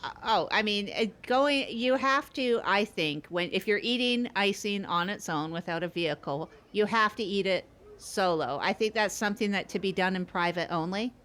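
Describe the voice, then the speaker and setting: A woman's voice: medium pitch, normal speed, fairly bright tone. A woman co-hosting an improvised comedy podcast, talking in a rambling, teasing way and often repeating herself. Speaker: podcast co-host.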